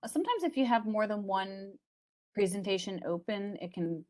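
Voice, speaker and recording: A woman's voice: mid-range voice, expressive, moderate pace, darkish tone. A woman moderating an online event, helping the speaker with the presentation settings.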